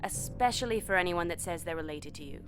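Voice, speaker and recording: An actress playing a businesslike quarantine official in an audio drama, measured and evasive in her delivery. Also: on the low side, expressive, fairly bright tone, soft background music. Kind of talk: naturalistic audio drama acting.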